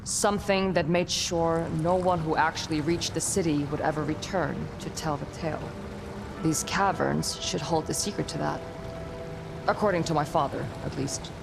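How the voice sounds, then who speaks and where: A woman's voice: low-pitched, moderate pace, vocal fry, over music. A woman playing a guarded young warrior in a video game, speaking in a dry, understated way. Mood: grave, reflective. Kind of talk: video game cutscene acting.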